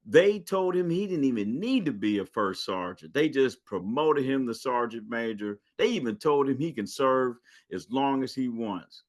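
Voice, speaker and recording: A man hosting a podcast in a casual interview, telling stories in a lively, joking way. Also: mid-range voice, moderate pace, few pauses. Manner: lively, joking, animated storytelling. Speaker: podcast host.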